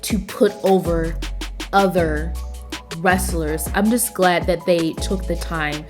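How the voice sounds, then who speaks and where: A woman hosting a casual wrestling recap show, talking enthusiastically and repeating words for emphasis in relaxed, slangy phrasing. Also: lowish voice, slow, over music. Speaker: wrestling review show host.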